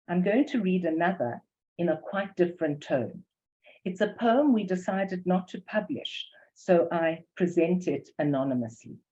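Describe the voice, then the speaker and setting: Older woman, South African English accent, measured academic lecture, low-pitched voice, dull lo-fi sound. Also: warm tone. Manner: measured, careful reading of prepared text. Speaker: academic presenter. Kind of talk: lecture presentation with poetry reading. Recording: dull lo-fi recording.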